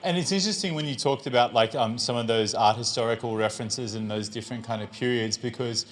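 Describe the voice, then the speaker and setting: A man's voice: mid-range voice, fast talker. A man, a gallery director, hosting a live artist talk in a gallery, rambling with many fillers and restarts. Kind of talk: live public conversation. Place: gallery space with an audience. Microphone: handheld microphone.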